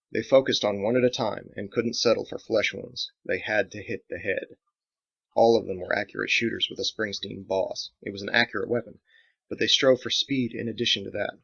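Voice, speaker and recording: A man's voice: fairly low pitch, creaky, a bit bright. A man reading his own dramatic action novel as scripted audiobook narration, in a slightly dull recording.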